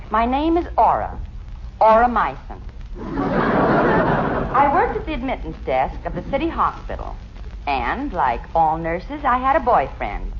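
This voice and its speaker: An actress playing a practical, level-headed woman in a 1950s radio comedy, with dry, mock-earnest delivery. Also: mid-range voice. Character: level-headed female companion and mock-earnest storyteller nurse. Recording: muffled, noisy 1950s radio recording.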